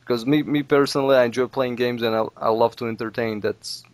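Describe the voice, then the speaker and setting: A man whose first language is Russian talks earnestly about making gaming videos, dropping "you know" as he goes. His voice sits at an ordinary middle pitch. The recording is dry and close, with no room sound at all. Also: fairly even intonation, brisk, dry recording. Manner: earnest, advice-giving, peppered with "you know". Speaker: Let's Play video maker. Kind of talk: casual podcast interview.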